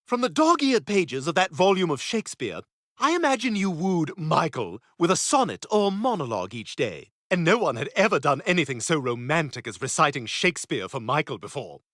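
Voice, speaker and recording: A man's voice: a little high, sing-song, moderate pace, a bit bright, no echo, clean studio sound. An actor voicing an arrogant, precocious baby boy with a posh British accent, talking in a smug, condescending and cutting way. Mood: smug, probing. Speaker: therapy patient. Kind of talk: animated sitcom voice acting.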